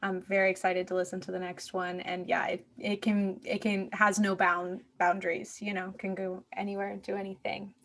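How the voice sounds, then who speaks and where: A woman's voice: mid-range voice, flat delivery, normal speed, vocal fry, few pauses, fairly bright tone. A woman hosting a podcast in a casual interview style, supportive and encouraging toward the guest. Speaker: podcast host.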